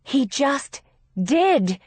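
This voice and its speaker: A woman voicing an exasperated, protective older sister who argues bluntly and forcefully in an audiobook. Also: a little high, expressive, measured pace, no echo, pitched above the performer's own voice. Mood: despairing, insistent.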